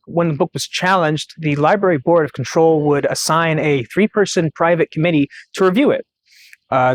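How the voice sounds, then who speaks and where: A man's voice: a little high, slight background noise. Young man presenting a news report. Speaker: student reporter hosting a video report. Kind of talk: scripted news-style narration.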